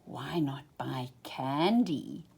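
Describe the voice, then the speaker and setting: A woman's voice: very deep voice, animated, drawn-out words, vocal fry, mellow, breathy edge, voice pushed down, full character voice. A woman voicing a sly, troublemaking older boy from a children's storybook, coaxing and scheming like a trickster.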